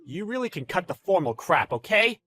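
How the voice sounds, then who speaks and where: Man acting a smug bullying boss, animated and high. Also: a little fast, faint music behind, heavily acted. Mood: hostile. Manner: taunting, exclamatory, slangy. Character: smug bullying male boss, gloating and contemptuous. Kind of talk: dramatized story narration with character voices.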